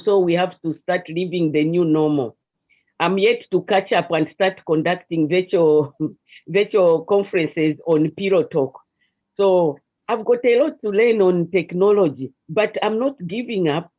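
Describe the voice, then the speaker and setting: Zambian lady with a deep voice, talking earnest and a bit halting, dull lo-fi zoom audio. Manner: earnest, explanatory, with hesitant restarts. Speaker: guest counselor. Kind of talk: online interview. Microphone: video call. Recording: lo-fi video call audio.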